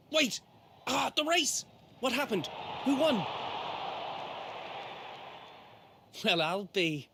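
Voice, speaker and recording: An excitable, genteel young prince. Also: male voice, on the high side, normal speed, noisy background. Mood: excited. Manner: genteel, animated, effusive. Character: young, friendly, excitable, well-bred male prince. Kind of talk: video game audio drama acting.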